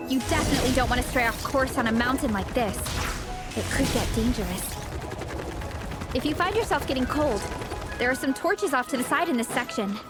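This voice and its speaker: Very high-voiced, upbeat sporty girl. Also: lively intonation, brisk, over loud music. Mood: instructive. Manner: encouraging, upbeat, sincere. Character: supportive, confident, sporty young woman athlete. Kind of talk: video game voice acting.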